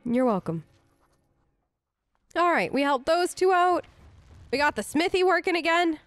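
A woman's voice: very high voice, expressive, unhurried, a bit bright, no room sound. A woman doing casual in-character commentary as she role-plays through a video game, chatty and reassuring, thinking aloud. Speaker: gaming host, role-play let's player. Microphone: close microphone, dry voice-over.

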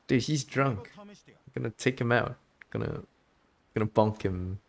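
A man's voice: mid-range voice, a little slow, creaky. A man giving casual gameplay commentary as a streamer.

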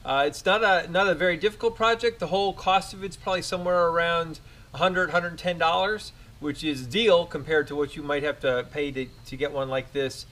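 A young man hosting a DIY video, explaining each building step in a relaxed, practical way while working outdoors on a driveway. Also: fairly high pitch, a little fast. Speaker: DIY home improvement host. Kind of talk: casual how-to narration while working.

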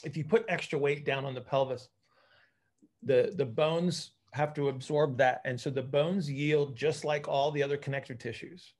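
Halting man explaining, slightly high voice. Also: steady tone, a little mellow. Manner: halting, repeats words as he thinks aloud. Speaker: coach and physical therapist hosting a group call. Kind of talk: informal technical explanation. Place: indoor room at home, group video call.

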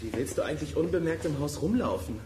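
A man's voice: on the high side, lively intonation, quick pace, close to an everyday voice. An actor playing a wary, grumbling boy detective in a children's radio play. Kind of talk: German audio drama acting. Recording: loud background noise, outdoor ambience.

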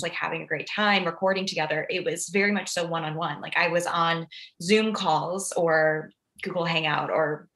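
A woman speaking as a podcast guest in a casual interview, thoughtful and pausing often as she explains. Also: fairly low pitch, fairly bright tone. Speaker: podcast guest, audio drama director and writer.

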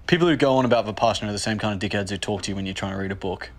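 An Australian man in his thirties rants fast, blunt and sarcastic, swearing freely. His voice sits a bit low, with faint background noise. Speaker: comedy sketch character. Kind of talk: scripted comedy sketch.

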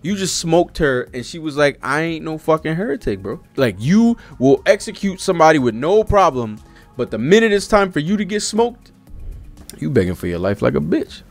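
Animated slangy man reacting on stream. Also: on the high side, normal speed, faint music behind. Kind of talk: casual live reaction commentary, reading panels aloud. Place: small indoor streaming setup. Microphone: close desk-arm microphone.

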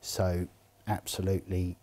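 A middle-aged man who speaks for an auction house, answering interview questions with enthusiasm and measured pauses, in an indoor showroom. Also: sits low, completely flat delivery, warm tone. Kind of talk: interview answers about collectibles.

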